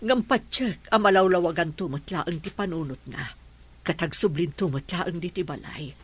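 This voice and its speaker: An actress playing a mature, reassuring adoptive mother, insistent and expressive, in radio drama style. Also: lowish voice, normal speed, husky. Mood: concerned, reassuring.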